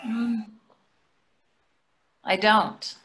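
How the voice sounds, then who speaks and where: A woman's voice: mid-range voice, steady tone, very slow, a bit bright. A woman in her sixties playing a placating, dryly chiding wife in a readers theatre play. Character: placating, gently needling middle-aged wife. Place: plain room indoors on a video call.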